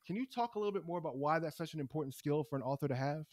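A man hosting a podcast interview, animated and acting out little everyday scenes as he talks. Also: on the high side, very fast, no echo. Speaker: podcast host.

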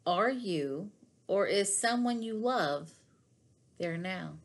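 A middle-aged woman reading devotional material aloud in a measured, deliberate way with pauses between phrases. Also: mid-range voice, animated, a little hiss or hum. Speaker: devotional reader. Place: small living room at home, indoors.

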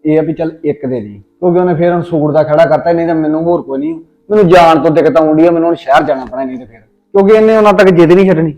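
A man's voice: fairly high pitch, racing, few pauses, darkish tone, close to an everyday voice, rough recording. A man with a Punjabi accent playing an indulgent older brother who teases and reassures his sister. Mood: earnest. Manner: fond, teasing reassurance. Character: indulgent older brother, fond, mildly exasperated. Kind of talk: comic family skit acting.

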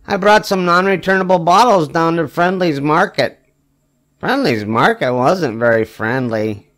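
An older man reads a children's story aloud in a slightly high-pitched voice. His delivery is expressive and emphatic. He lets the short punchlines stretch out with wry weight. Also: measured pace. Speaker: storybook reader. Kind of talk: expressive read-aloud of a children's picture book.

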